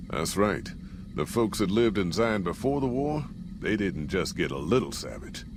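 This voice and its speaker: An American actor playing a gruff, folksy caravan boss in a post-apocalyptic video game, with plain-spoken frontier drawl. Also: male voice, low-pitched, noisy background. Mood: grim, warning. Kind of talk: scripted video game character dialogue.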